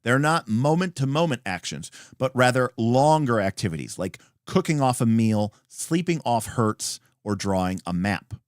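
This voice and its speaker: A man hosting a read-along, reading a game rulebook aloud in an emphatic, deliberate way with brief asides. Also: mid-range voice, animated, dry recording, studio quality. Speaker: host.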